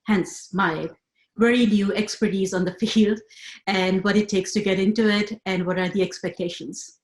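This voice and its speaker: A woman in her thirties, from Pakistan, presenting a webinar talk with measured, lecturing delivery and frequent fillers. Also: medium pitch, steady tone, reverberant. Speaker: webinar speaker.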